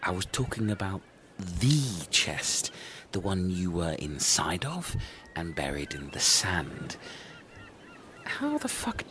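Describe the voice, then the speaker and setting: A man's voice: low voice, wildly swooping pitch, slow, background noise, exaggerated voice. A man playing a sardonic, questioning castaway in a comedy audio play. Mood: exasperated.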